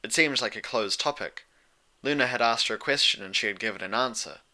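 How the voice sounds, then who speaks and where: A man's voice: mid-range voice, a little fast, sharp tone. A man reading a scripted story aloud as its narrator. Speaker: fan fiction narrator.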